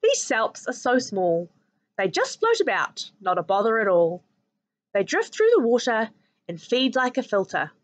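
Calm, reassuring storybook mum read by a woman, New Zealand accent, lively lilt, rhyming explanations. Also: on the high side, a little slow, no room sound. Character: calm, reassuring mother explaining sea life.

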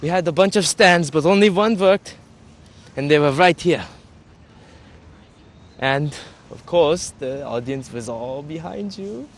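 A man acting as a nostalgic young rock musician in a mockumentary, his voice on the high side. He reminisces in halting bursts, often tagging a phrase with a questioning "yeah?", out in the open air. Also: expressive, a little hiss or hum. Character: nostalgic former member of a fake Swedish rock band. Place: outdoors on a campus lawn.

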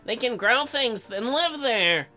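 A man voicing an earnest, halting young farmer in love in a visual novel. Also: extremely high pitch, expressive, sharp tone, faint music behind, full character voice. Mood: dreamy. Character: earnest young farm man, dreamy lover.

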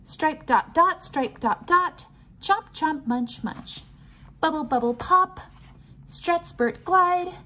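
A woman reading a picture book aloud to children and explaining it simply, in a sing-song, teacherly way with clear enunciation. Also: sits high, takes it slowly, sharp tone. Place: indoors, at a table.

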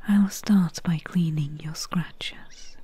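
A woman acts out a swamp witch healer in an intimate ASMR roleplay. Her hushed voice is extremely breathy and mellow, on the low side. She is calm and soothing, with a wry, mercenary streak. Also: no echo, full character voice. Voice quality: breathy, whispery. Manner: slow, unhurried, hushed, soothing. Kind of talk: soft-spoken ASMR roleplay. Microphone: close microphone.

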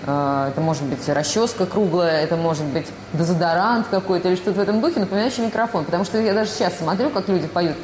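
A woman tells anecdotes in a lively, conversational way. Her voice sits on the low side, and a roomy echo and noticeable background noise surround her. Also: fast talker, hardly any pauses. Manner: chatty, animated storytelling. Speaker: interviewee. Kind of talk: casual interview talk. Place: studio set.

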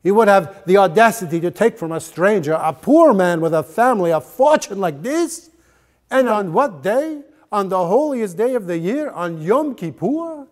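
A man in his sixties voicing a grave, pious village rabbi addressing his congregation in a solemn, declamatory story reading. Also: high-pitched. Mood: indignant, commanding.

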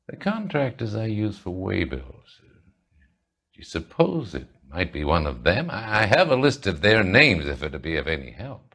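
An actor playing a condescending middle-aged American property tycoon in a 1940s crime video game, smooth-talking and self-assured. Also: male voice, lowish voice, sharp tone, heavily acted. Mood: smoothly obliging.

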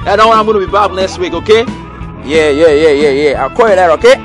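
Man acting boastful drinker, Nigerian accent, high-pitched voice, showy slangy swagger, comedy film, music underneath. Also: fast talker. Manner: showy, animated slangy posturing. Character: boastful drinker putting on a returnee-from-abroad swagger, then pleading.

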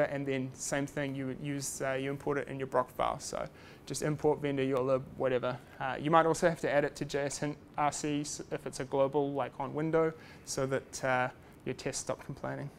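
A man's voice: fairly high pitch, slight background noise. A man giving a casual technical talk at a meetup, chatty and self-deprecating with lots of fillers. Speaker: meetup speaker. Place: meetup room.

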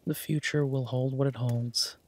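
A man playing a tender, teasing, easygoing werewolf boyfriend in an intimate romantic audio roleplay, murmuring softly with a husky voice. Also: mid-range voice, fairly even intonation, normal speed, lightly acted. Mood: tender, reassuring.

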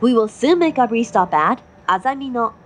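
A woman giving formal, measured railway announcements from a script. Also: on the high side, expressive. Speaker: train announcer. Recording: noisy onboard train recording. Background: train running noise.

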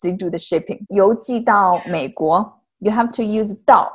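A young woman from Northeast China with a Mandarin Chinese accent, teaching Chinese words in English over a video call, explaining patiently with fillers and soft laughs. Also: mid-range voice, moderate pace, slightly rough. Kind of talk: casual language lesson on a video call. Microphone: video call, earphone mic.

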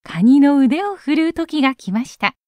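A woman narrating a children's story in an expressive storytelling style. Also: high voice, normal speed, no room sound.

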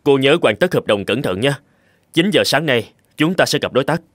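A man's voice: medium pitch, lively intonation, moderate pace, natural voice. A man playing an earnest, proud young businessman with a dramatic way of speaking in a cartoon drama. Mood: businesslike, polite. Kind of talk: dubbed cartoon drama acting. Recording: long reverb, loud music underneath.

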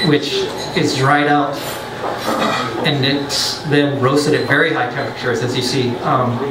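A man's voice: mid-range voice, little pitch movement, measured pace, far from the mic, heavy background noise. A man, a brewer, giving a chatty science talk to a pub audience, with joking asides and frequent restarts. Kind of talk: casual lecture to a pub audience. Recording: roomy, off-mic, loud background.

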